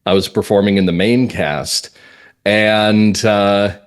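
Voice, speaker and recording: Male, middle-aged, New England native, lowish voice, halting conversational storyteller in an interview. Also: fairly even intonation, clear, resonant tone. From New England, United States. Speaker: interview guest, playwright and actor.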